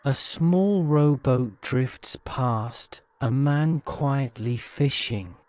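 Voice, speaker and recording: A man narrating a guided-imagery sleep story in a soothing, measured manner. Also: medium pitch, a little mellow, smooth, dry recording.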